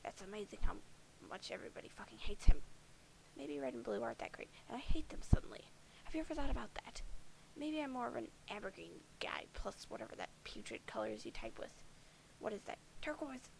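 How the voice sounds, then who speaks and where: A woman's voice: fairly high pitch, big pitch swings, a little fast, creaky, whispered, full character voice, rough recording. A woman voicing a bitter, foul-mouthed alien troll boy who sees himself as a prophet of doom, sardonic and defensive.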